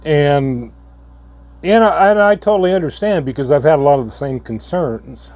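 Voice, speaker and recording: A hoarse man, coming down with a cold, giving a halting, earnest update to his viewers. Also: a little high, darkish tone. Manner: halting, repeats words, slow and earnest. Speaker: channel host. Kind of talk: casual vlog update.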